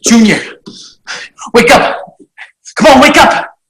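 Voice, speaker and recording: A woman reading a script aloud, voicing a brash, panicky teenage boy who shouts. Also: on the high side, takes it slowly, pitched above the performer's own voice. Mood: panicked. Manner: frantic, shouting. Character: panicky young male teen, brash. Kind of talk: script table read.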